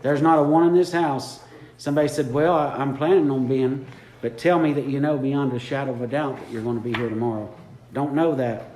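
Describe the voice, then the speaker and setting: A man's voice: medium pitch, fairly even intonation, brisk, a little mellow, a little hiss or hum. A man preaching a sermon in a church, fervent and repeating his lines for emphasis. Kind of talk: impromptu sermon. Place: church sanctuary. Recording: echoey church room.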